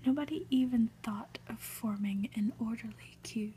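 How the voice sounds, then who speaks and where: A woman narrating a storybook in a breathy ASMR whisper, reading gently and deliberately. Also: on the high side. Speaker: ASMR reader. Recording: faint background noise.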